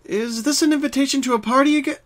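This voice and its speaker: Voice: sits high, fast, pitched above the performer's own voice, lightly acted. A performer voicing a caring, bookish young female unicorn pony who speaks earnestly and precisely in a cartoon fan reading. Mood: cheerful. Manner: concerned, precise, articulate.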